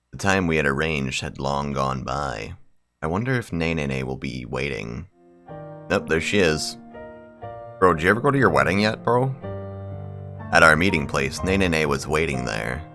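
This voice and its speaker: Very deep-voiced, animated male gaming host. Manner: slangy, profane, bro-ish. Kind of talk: visual novel read-aloud with casual commentary.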